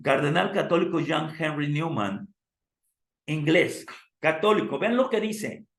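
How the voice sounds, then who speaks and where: Hoarse man lecturing in an echoey room. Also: fairly high pitch, expressive, measured pace. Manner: asks the class questions and addresses them as "amados". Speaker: theology teacher. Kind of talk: classroom lecture with preacher cadence.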